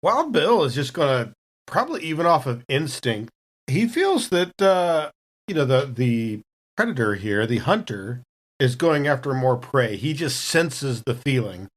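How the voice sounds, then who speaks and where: A man's voice: mid-range voice, sing-song, unhurried. Casual man improvising at the game table. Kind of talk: casual improvised description of his character's actions.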